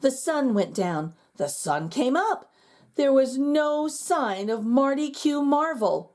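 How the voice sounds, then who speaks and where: A woman reading a children's picture book aloud in an expressive, storytelling manner. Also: high voice, slow. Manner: expressive and animated.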